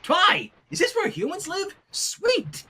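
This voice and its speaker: A man voicing a brash, excitable young female cartoon pony, blurting and breezy, in a fan fiction reading. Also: very high voice, slow, pitched above the performer's own voice, exaggerated voice. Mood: excited.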